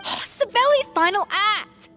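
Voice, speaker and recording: A woman's voice: very high-pitched, lively intonation, a little slow, over music. A woman playing an earnest, articulate young investigator in a video game. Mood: alarmed.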